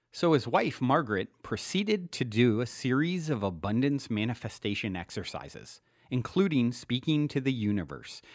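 A man reading a self-help book aloud as scripted narration, in a measured, instructional way with clear phrasing. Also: medium pitch, no echo, studio quality. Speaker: podcast host reading his own self-help book.

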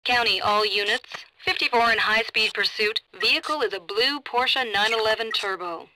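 A woman playing a brisk, composed police dispatcher in a video game, speaking in clipped procedural phrases over the radio. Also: on the high side, pushed and bright, no echo. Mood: businesslike. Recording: noisy police radio, clicks.

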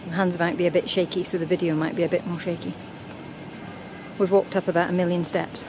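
A woman's voice: on the low side, level tone, fast talker. A middle-aged woman chatting upbeat to camera as a sailing vlogger, outdoors on a boat and hill paths. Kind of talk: casual travel vlog chat. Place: outdoors on a sailboat and hillside paths. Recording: loud outdoor wind and boat noise.

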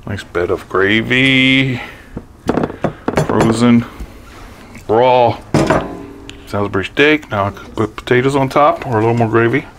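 A man's voice: medium pitch, measured pace, pauses often, music in the background. A man in his sixties giving a chatty, upbeat cooking demonstration in his home kitchen, chuckling often, on a lapel mic. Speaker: cooking vlogger. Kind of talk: casual cooking demo. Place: home kitchen, then pickup truck.

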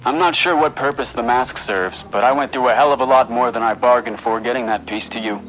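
A man's voice: medium pitch, brisk, close to an everyday voice. A man acts out a hardboiled, menacing underworld man in a noir audio drama. The delivery is cold and terse, in a dull lo-fi recording with faint music behind. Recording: dull, band-limited sound.

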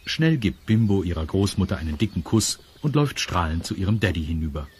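A man's voice: deep voice, very animated, fairly quick, a little hiss or hum. A man narrating a children's audio drama as a scripted storyteller.

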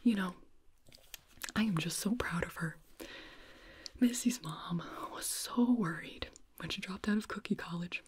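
A woman voicing a cheerful, proud elf father with a folksy greeting, in a hushed ASMR roleplay close to the mic. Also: on the low side, a little slow, pauses often, breathy edge, no room sound. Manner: hearty whisper, proud and folksy.

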